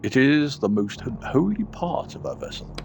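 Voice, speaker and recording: A man voicing a solemn, pious ship's navigator in a formal, preachy, measured way for a game. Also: mid-range voice, big pitch swings, vocal fry, background noise, voice pushed up.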